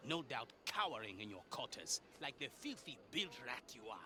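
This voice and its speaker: An actor playing a furious, scornful pirate sailor in a video game, theatrical and biting, with old-fashioned seafaring insults. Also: male voice, mid-range voice, sing-song, moderate pace, noisy background, lightly acted. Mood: scornful anger. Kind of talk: dramatic video game acting.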